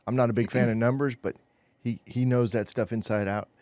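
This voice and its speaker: A man's voice: mid-range voice, fairly even intonation, fairly quick, darkish tone, no echo. A man hosting a business podcast in a studio, upbeat and conversational, with fillers like "it's like". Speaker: podcast host. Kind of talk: casual interview hosting.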